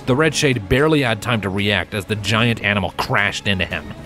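Guy narrating an audiobook story, lowish voice, music playing underneath. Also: fairly quick, bright, forceful tone. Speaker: fan fiction narrator. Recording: music bed behind narration.

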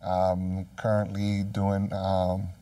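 Measured middle-aged man, deep mellow voice. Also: monotone, no echo, recorded from a distance. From Cuba. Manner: measured, hesitant with fillers. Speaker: guest, program manager. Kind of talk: casual TV panel chat. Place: TV studio set, seated.

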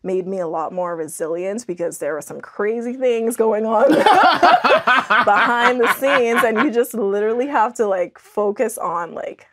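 A woman's voice: on the high side, lively intonation, measured pace, fairly bright tone. Young woman chatting casually. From Andros, Bahamas. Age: young adult. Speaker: podcast guest, scientist and author. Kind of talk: casual interview conversation. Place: small indoor podcast studio.

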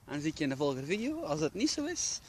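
A man's voice: fairly high pitch, very animated, very fast, a little mellow. A young man, rambling playfully with many fillers while filming himself for his vlog on a handheld camera. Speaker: vlogger. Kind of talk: casual vlog chat. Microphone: handheld camera at arm's length. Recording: noisy handheld camera audio.

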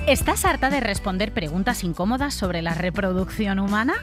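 A woman with a Spain accent voicing a mock-earnest female infomercial announcer, emphatic and deliberate, in a radio parody. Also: lively intonation, loud music behind the voice, close to an everyday voice. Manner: emphatic, deliberate sales pitch.

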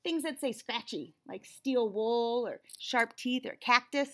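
A woman's voice: a little high, measured pace, fairly bright tone. An American woman in her forties reads a picture book aloud to young children, expressively, with friendly teaching asides. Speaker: read-aloud host and teacher. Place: small indoor room with shelves and cabinets.

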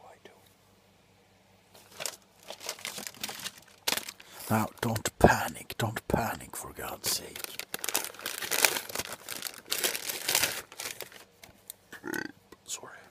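A middle-aged man playing a grumpy, drunk doctor in an ASMR roleplay, grumbling sardonically close to the mic between soft talk and whispers. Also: fairly low pitch, animated, moderate pace, lightly acted. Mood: mock urgent. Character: grumpy, drunk male doctor on a Christmas house call. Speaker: ASMR performer. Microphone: very close to the face.

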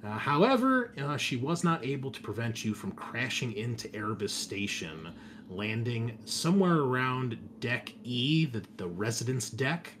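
A man game master recapping a tabletop session in a casual, conversational way, halting at times and leaning on descriptive words. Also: medium pitch, very animated, a bit bright. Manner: casual, sweary, conversational asides. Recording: clear background noise, room ambience.

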